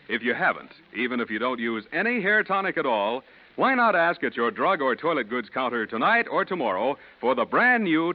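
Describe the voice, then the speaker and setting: A man with an American accent announcing and selling like a 1940s radio pitchman, with emphatic delivery. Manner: emphatic, salesmanlike. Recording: dull 1940s radio recording with music. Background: music.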